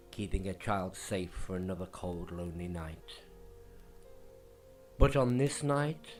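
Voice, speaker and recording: Male storyteller, very slow, dramatic and hushed delivery, soft music bed. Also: on the low side. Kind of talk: slow scripted ghost story narration. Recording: faint music underneath.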